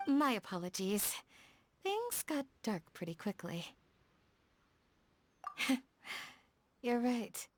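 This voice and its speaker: Casting: young female desert guardian for a game. Gentle, earnest, soft-spoken, mid-range pitch, music underneath. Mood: apologetic, grateful. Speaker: video game character. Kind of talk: scripted game dialogue, storytelling.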